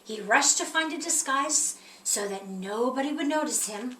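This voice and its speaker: Lady reading a kids book out loud, high voice, kinda echoey with a bit of noise. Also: lively intonation, moderate pace. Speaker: storyteller reading a children's picture book aloud. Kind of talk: expressive children's story read-aloud. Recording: roomy echo, distant mic, faint noise.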